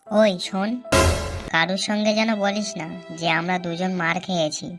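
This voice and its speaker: Woman's voice over loud music. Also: lowish voice, steady tone, normal speed. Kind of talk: cartoon comedy dialogue voicing several characters. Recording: loud music behind the dialogue.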